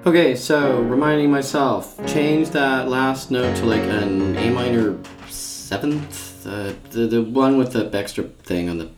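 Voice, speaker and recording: A woman's voice: a bass voice, unhurried, hardly any pauses, over loud music. An actress playing a wry, self-doubting middle-aged woman songwriter in a naturalistic comedy radio play, rambling and hesitant. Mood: wry, casual.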